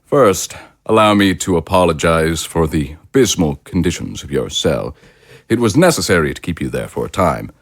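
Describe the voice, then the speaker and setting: An actor playing a suave, self-satisfied political leader in an audio drama, with pompous, elaborately polite diction. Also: male voice, low voice, expressive, normal speed. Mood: smoothly apologetic. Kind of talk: theatrical audio drama acting.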